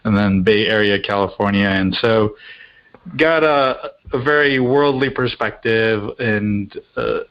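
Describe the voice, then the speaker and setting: Lower-voiced man, slightly muffled audio. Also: unhurried. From India-born, grew up in Australia, England and the US. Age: middle-aged. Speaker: guest, coach. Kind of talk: conversational interview answers.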